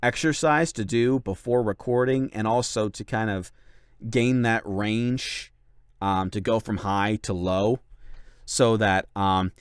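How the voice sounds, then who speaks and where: A man's voice: fairly low pitch, slow pace, clear, resonant tone, no echo, studio quality. A young man hosting a casual Q&A as a voice actor, rambling with lots of likes and ums. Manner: rambling, filler-heavy, many likes and ums. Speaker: channel host and voice actor. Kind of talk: casual Q&A chat.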